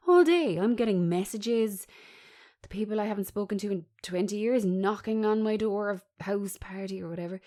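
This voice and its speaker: A woman's voice: medium pitch, dark tone, close to an everyday voice. A woman voicing an irritable, defensive young man fed up with lockdown, grumbling bluntly in a script reading.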